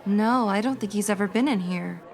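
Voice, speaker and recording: A woman's voice: medium pitch, brisk, gravelly, darkish tone, faint music behind, close to an everyday voice. A woman playing a worldly, put-upon Old West saloon woman in a radio western, dry and matter-of-fact, with an American accent. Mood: dry, friendly.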